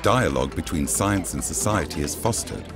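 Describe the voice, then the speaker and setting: A man reading a scripted promotional voice-over as a documentary narrator, in a measured, formal and informative way. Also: sits low, lively intonation. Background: music bed.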